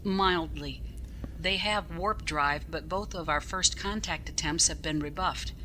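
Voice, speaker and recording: A woman's voice: deep voice, unhurried, rough recording. A woman playing a stern, no-nonsense starship captain in a science fiction audio drama, with clipped, formal authority. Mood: formal, measured. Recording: steady background ambient noise.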